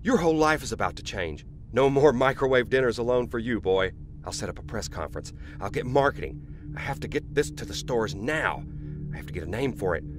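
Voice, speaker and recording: An actor playing a greedy, ruthless corporate boss in an audio drama, smug, sardonic and profane. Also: male voice, medium pitch, expressive, fairly quick, slight background noise, natural voice. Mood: smooth, eager. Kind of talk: dramatic radio play acting.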